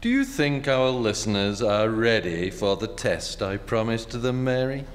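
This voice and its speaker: A man playing a macabre old-time radio host, deliberate and wry, recorded on a reverberant stage with music behind him. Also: mid-range voice, very animated, natural voice. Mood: wry, relaxed. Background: plucked guitar music.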